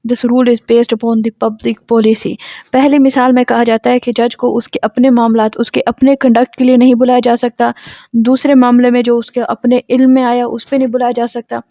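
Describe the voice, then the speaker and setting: A woman's voice: a little high, flat delivery, moderate pace, warm tone. A woman with a Pakistani accent lecturing on law, reading out legal text and explaining it didactically, repeating and rephrasing points. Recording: dull lo-fi sound, roomy echo.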